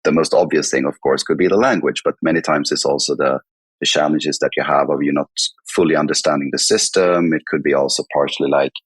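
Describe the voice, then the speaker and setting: Very deep, husky Swedish man. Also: fairly even intonation, brisk, no echo. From northern Sweden. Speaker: podcast guest, company chief executive. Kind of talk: conversational interview answers.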